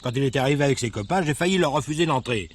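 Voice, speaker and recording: A man's voice: medium pitch, animated, very fast, natural voice. An actor playing a plain-spoken, deferential village fireman giving testimony in a colloquial, hesitant way, in a French radio crime drama. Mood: chatty, confiding.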